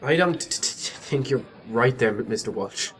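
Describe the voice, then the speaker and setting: Actor as stammering, timid young Irish apprentice. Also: male voice, medium pitch, lively intonation, moderate pace, a bit bright, natural voice. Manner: hesitant, deferential. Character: timid, earnest, innocent young male apprentice. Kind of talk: radio play acting.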